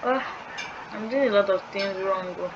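A woman's voice: medium pitch, normal speed. A young woman thinking aloud as she fills in an online form for a tutorial, hesitant and musing. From Nigeria. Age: young adult. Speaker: scholarship tutorial creator. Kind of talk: screen-recorded walkthrough. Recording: dull lo-fi sound with clear background noise.

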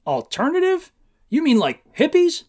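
A man's voice: extremely high pitch, huge pitch swings, moderate pace, a little mellow, pitched above the performer's own voice, heavily acted. A man voicing a stern, pressing police officer who questions in disbelief, in a storybook reading. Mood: incredulous. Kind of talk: audiobook-style character reading.

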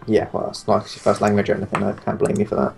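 A man's voice: fairly low pitch, completely flat delivery, creaky. A young man from Guernsey with a British accent, grumbling and bantering over a multiplayer strategy game. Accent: British English. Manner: swears, grumbles, quick comebacks. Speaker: gamer playing multiplayer strategy. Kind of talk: casual gameplay chat. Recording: faint game music behind.

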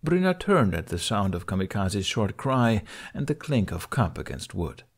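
A man's voice: on the low side, very animated, moderate pace, husky. A man reading scripted fiction as an audiobook narrator.

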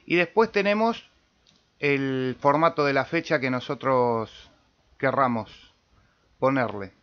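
Slow-paced Argentine man, dull recording. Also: medium pitch. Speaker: amateur radio tutorial host. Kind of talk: casual screen-share software tutorial.

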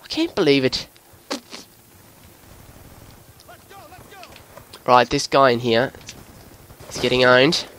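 A man putting on an Australian accent as an excitable, sweary bloke giving live video game commentary in a voice pushed higher than usual. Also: normal speed, a little hiss or hum, lightly acted, rough recording. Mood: excited, exasperated. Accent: put-on Australian. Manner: excitable, sweary, breaking into giggles at himself. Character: excitable Australian bloke gamer, jokey larrikin.

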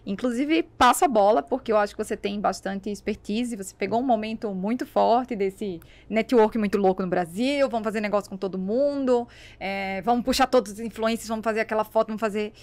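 A woman's voice: mid-range voice, fairly quick, a little mellow. A Brazilian woman in her thirties explains conversationally as a podcast guest, emphatic, repeating key words into a studio mic. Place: small foam-lined podcast studio. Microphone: studio microphone on boom arm.

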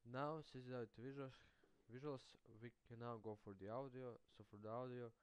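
Clear-voiced man. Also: medium pitch, steady tone, poor audio. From Serbia. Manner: halting, repeats phrases as he explains. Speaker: tutorial host. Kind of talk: casual screen-recorded software tutorial. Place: indoors, screen-capture voice-over.

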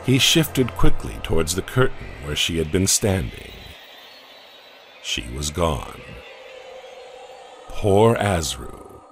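A man narrating a horror audio comic in a slow, measured, ominous way. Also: deep voice, sing-song, slight background noise. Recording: narration over loud music.

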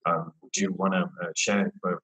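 A man hosts a casual chat. His voice is fairly low, and he often repeats words as he goes. The video call sound is noticeably echoey. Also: level tone, normal speed, sharp tone. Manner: repeats words and phrases as he talks. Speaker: interview host. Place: plain indoor room, video call. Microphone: wired earphone headset. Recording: roomy echo on video call.

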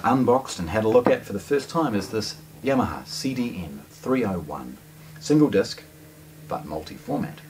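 Recorded from a distance with faint noise, a man talks through a product demonstration. His voice is low and he explains things conversationally, leaning on key words. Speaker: hi-fi shop presenter. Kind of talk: unscripted product unboxing presentation. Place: hi-fi shop showroom, indoors.

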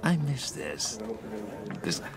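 An actor playing an eager, unhinged young schemer in a video game, halting and wheedling with sinister warmth. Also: male voice, medium pitch, sing-song, gravelly, crowd noise, full character voice. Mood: coaxing, fond. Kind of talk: video game character acting.

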